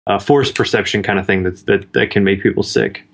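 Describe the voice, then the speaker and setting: A man on a gaming podcast, calmly explaining a technical point. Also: on the low side, brisk. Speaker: podcast guest. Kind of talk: casual chat.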